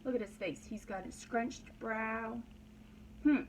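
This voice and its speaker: A woman with a fairly high voice teaches a read-aloud lesson to very young children. She speaks slowly, repeats simple phrases and checks in with short questions. There is clear background noise on the recording. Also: rough recording. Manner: instructional, simple phrases with repetition and prompting questions. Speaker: pre-kindergarten teacher.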